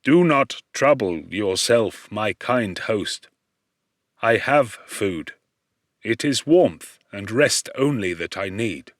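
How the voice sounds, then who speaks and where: Audiobook role: male actor as forlorn monster, humble and anxious, formal pleading speech, deliberate pace, dry close sound. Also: fairly low pitch, expressive, no echo. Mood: humble, polite. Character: lonely outcast male creature, humble, anxious, eloquent. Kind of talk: audiobook character voice.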